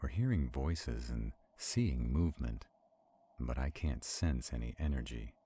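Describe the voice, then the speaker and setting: An arrogant male vampire acted by a man speaks in an extremely low, husky voice. He takes his time over every phrase, haughty and commanding, protective yet skeptical, as in a supernatural audio roleplay. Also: lively intonation, unhurried, mellow, exaggerated voice. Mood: tense, reluctant. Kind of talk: roleplay audio drama acting.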